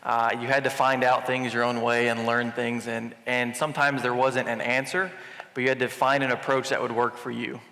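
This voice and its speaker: Mid-pitched man in an echoey hall. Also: little pitch movement, normal speed, bright, forceful tone. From Bosnia. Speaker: entrepreneur panelist. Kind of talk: casual live panel chat. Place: large event hall on stage. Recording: echoey hall with long reverb.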